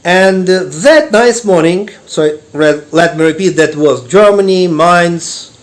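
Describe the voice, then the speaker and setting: A man with a Russian accent telling a personal story slowly, with many pauses and fillers. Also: high-pitched. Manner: unhurried, halting, many fillers and restarts. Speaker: meditation teacher and artist.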